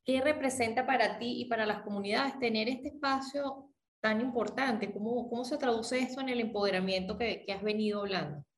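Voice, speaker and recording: A woman interviewing a guest, asking formal, measured questions. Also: a little high.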